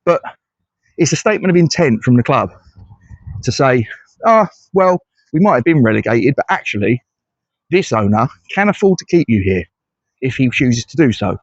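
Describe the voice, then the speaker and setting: A man's voice: mid-range voice, sing-song, normal speed, long pauses, no room sound. Middle aged english bloke ranting on his fan channel, blunt, lots of restarts, dry dull mic. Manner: blunt, conversational, hesitant restarts. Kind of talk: solo fan commentary.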